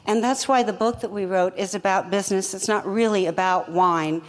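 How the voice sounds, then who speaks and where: An older woman, a company co-founder, telling her story in an interview in a measured, plainspoken way. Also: mid-range voice, in a large hall.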